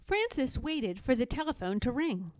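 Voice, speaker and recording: A woman's voice: sits high, sing-song, unhurried, a little mellow, dry recording, clean studio sound. A woman narrating a children's storybook expressively as a read-aloud storyteller.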